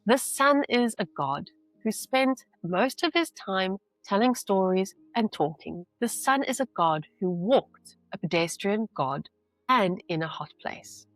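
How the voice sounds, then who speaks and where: A woman teacher reading a novel aloud with deliberate, emphatic phrasing and brief explanations. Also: medium pitch, lively intonation, dry recording, low-quality recording.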